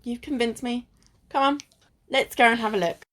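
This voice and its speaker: An amateur actress playing a sensible, bossy, cautious friend in a radio play, with a dry, scolding manner. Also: female voice, fairly high pitch, fairly even intonation, moderate pace, fairly bright tone, close to an everyday voice.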